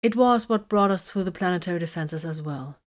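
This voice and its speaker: A woman's voice: fairly low pitch, a little fast, natural voice. Smug amused female commander, sweet sarcasm; a woman narrator voices her.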